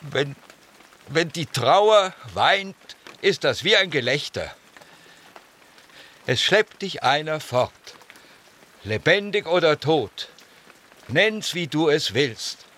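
An actor playing a blunt, emotional, stubborn gardener in a radio drama, with an emphatic, declamatory way of talking. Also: male voice, on the high side, lively intonation, takes it slowly, long pauses, a bit bright, heavily acted. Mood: solemn, mournful. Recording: rain noise behind the voice.

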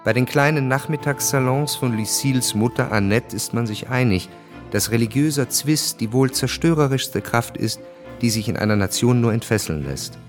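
A male actor is a sober chronicler with a medium-pitched voice. He reads scripted historical narration for a radio drama, with faint music underneath. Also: moderate pace, natural voice.

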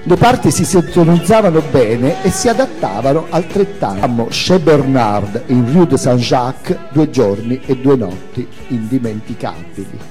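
A man's voice: medium pitch, lively intonation, normal speed, few pauses, mellow, big-room sound, faint music behind. A man giving a theatrical reading of autobiographical prose as its author and narrator, with dramatic, emphatic phrasing.

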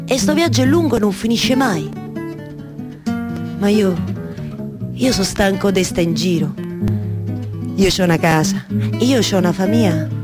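Una donna che interpreta un viandante stanco e nostalgico di casa, schietto, con accento romano. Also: female voice, mid-range voice, lively intonation, unhurried, pauses often, loud music behind the voice, natural voice. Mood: weary, melancholy. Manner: plain, earnest, colloquial.

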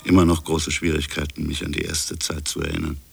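An actor playing a brooding French colonial official in a radio drama, musing haltingly over uncertain memories in a gravelly, husky voice. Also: male voice, extremely low pitch, monotone, faint music behind, full character voice. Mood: reflective, searching. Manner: halting, musing, fragmented phrases. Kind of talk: introspective radio drama monologue.

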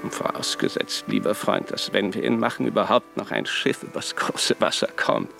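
Haughty, creaky-voiced archbishop, very animated. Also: male voice, fairly low pitch, moderate pace, over music, exaggerated voice. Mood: emphatic, dismissive. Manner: declamatory, formal, pointed. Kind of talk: German radio play acting.

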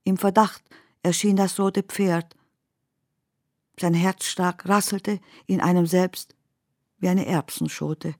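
A woman reciting poetry in a radio play with measured, deliberate phrasing. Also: lowish voice, fairly even intonation, a little mellow, dry recording, clean studio sound. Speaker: poet reading own text. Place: dry studio.

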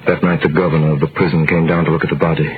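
An actor in an old radio drama playing a devoted, wrongly imprisoned man, narrating and speaking in a measured, dramatic style. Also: a bass voice, flat delivery, slight background noise. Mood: somber, reflective. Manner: measured, dramatic, literary delivery. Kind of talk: 1930s-40s radio drama narration and acting. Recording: muffled vintage radio recording with music.

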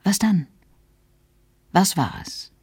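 A woman playing a coolly insistent questioner in a radio play, with a husky, breathy voice. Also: mid-range voice, lively intonation, slow, darkish tone, dry recording, studio quality, exaggerated voice. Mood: probing. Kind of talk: German radio drama acting.

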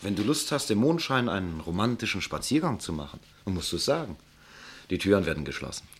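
An actor playing a brisk, teasing young surgeon in a German radio play, with a husky voice and a wry, animated manner. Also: male voice, low-pitched, full character voice.

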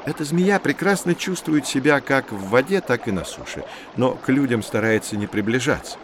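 A man's voice: mid-range voice, expressive, background noise, lightly acted. A man playing a knowledgeable, patient nature guide who explains things in a children's educational audio drama. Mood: explaining. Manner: measured, didactic.

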